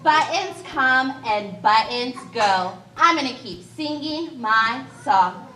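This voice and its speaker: A woman's voice: a little high, expressive, slow pace, pushed and bright, full character voice. A woman voicing a cheerful, easygoing male cat puppet in a live library puppet show, sing-song and upbeat. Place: large echoey library room. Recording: echoey room, children in audience.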